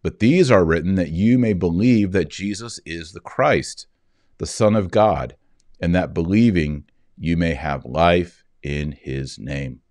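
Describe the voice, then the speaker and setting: Male reader, 40s, low-pitched and unhurried, solemn scripture delivery, dry close-miked sound. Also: a little mellow, dry recording. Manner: measured, deliberate phrasing. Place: indoor room, seated at a desk.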